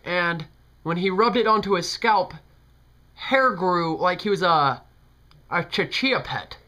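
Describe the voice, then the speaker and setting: A man's voice: high-pitched, slow, bright, forceful tone. A young American man making a prank phone call as a polite, hesitant, folksy older customer. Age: young adult. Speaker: prank caller. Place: small bedroom, indoors. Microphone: camera at close range, talking into a speakerphone held in hand.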